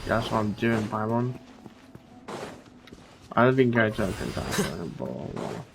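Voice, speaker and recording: A man's voice: lowish voice, big pitch swings, measured pace, creaky, noisy background. A man giving casual, offhand gameplay commentary with a wry manner, as a game streamer.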